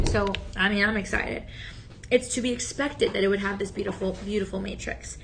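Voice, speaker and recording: A woman hosting a shopping channel, chatty and encouraging, with a slightly raspy voice that is still healing from talking so much. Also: medium pitch, fairly even intonation, a little fast, slight background noise. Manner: chatty, upbeat and encouraging, many fillers. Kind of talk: live TV sales pitch. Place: TV studio.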